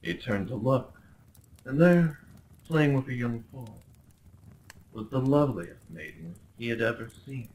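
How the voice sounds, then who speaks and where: A man's voice: mid-range voice, lively intonation, unhurried, pauses often, a little mellow, slight background noise, natural voice, poor audio. A man playing a smug, possessive crown prince in a roleplay audio drama, speaking with formal, condescending politeness and theatrical flair. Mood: tender, obsessed. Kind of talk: roleplay ASMR acting.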